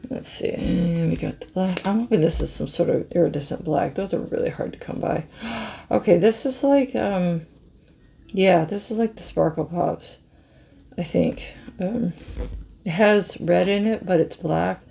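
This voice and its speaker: A middle-aged woman with a hoarse, scratchy voice, chatting slowly to viewers as she reviews art pens and marvels at their colors. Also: fairly low pitch, mellow. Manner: slow, musing, easily amazed, talks to viewers. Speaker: craft channel host. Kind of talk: casual product review chat.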